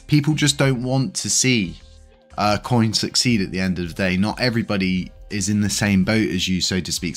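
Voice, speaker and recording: British man, very low voice, stuffy and wheezy, rambling casual commentary, faint music behind. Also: a bit bright. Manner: rambling, with many fillers. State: congested, slightly wheezy. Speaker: crypto channel host.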